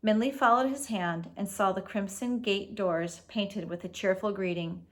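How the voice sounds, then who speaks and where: A woman reads a storybook aloud as host, with a mid-range voice. She is expressive and explains things casually, as if to young listeners. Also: mid-range voice, moderate pace.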